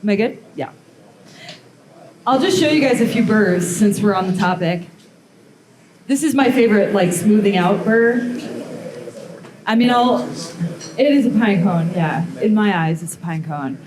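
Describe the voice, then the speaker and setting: A woman's voice: mid-range voice, expressive, a little slow, pauses often, background noise. A woman giving a live metalworking demonstration, talking casually with lots of fillers. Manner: casual, rambling, many "um" and "like" fillers. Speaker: blacksmith demonstrator. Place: demo space with a live audience. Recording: echoey room with crowd sounds.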